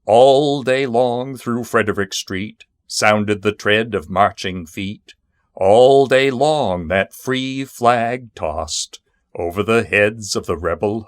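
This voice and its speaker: A man reciting narrative poetry in a measured, solemn and dramatic manner. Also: lowish voice, no room sound, clean studio sound. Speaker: poetry reader.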